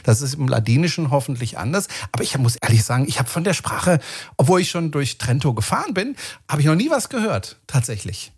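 A man hosting a relaxed interview, his pitch swinging up and down as he talks. His voice is a little husky and breathy. He chats casually and laughs easily. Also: brisk. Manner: lively, chatty, laughs often.

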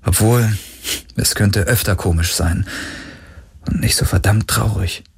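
A man's voice: on the low side, unhurried, slightly rough, heavily acted. A man voicing a weary, wry young woman, a former runaway and swindler, in an audiobook with subdued delivery. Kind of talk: audiobook character dialogue.

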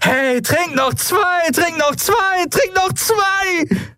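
A man's voice: lowish voice, big pitch swings, a little slow, a bit bright, slightly rough, no echo, heavily acted. A man reading an audiobook voices a defiant woman captain under torture, strained and close to hysteria. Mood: bawling song.

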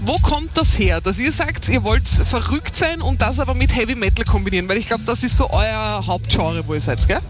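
A man's voice: high voice, lively intonation, fairly quick, few pauses. A man, hosting a casual on-air interview. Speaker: radio interviewer. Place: outdoor festival backstage area. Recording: dull sound, music from soundcheck behind. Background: band soundcheck music.

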